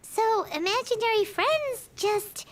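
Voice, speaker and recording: A woman voicing a tiny, excitable, nosy fairy companion in a video game, childish and full of exclamations. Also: extremely high pitch, slow pace, slight background noise, full character voice. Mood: eager, curious. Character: tiny excitable fairy companion speaking of herself in third person. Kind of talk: video game character acting. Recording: game music behind the voice.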